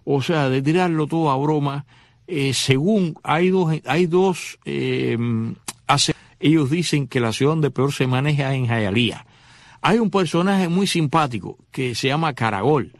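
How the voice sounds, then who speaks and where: A man hosting a radio talk show in a dry, close studio sound. His voice sits a little high for a man. He talks to the listener as if chatting, leaning on fillers and asides. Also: animated, a little slow, dry recording. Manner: conversational, many fillers, addresses listener directly. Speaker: radio host.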